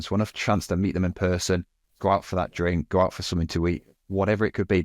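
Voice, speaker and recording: A man's voice: sits low, a little mellow, no room sound, studio quality. A man in his thirties, a podcast guest, talking fluently and casually with lots of "you know" and "kind of". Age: 30s. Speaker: agency guest.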